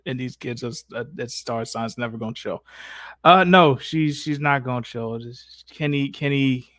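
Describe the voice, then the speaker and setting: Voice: mid-range voice, moderate pace, a bit bright, no echo. A casual host fielding questions in a live chat. The voice sits in a middle register and is recorded very dry and close. The talk is slangy and informal, with phrases often repeated. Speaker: host taking audience questions.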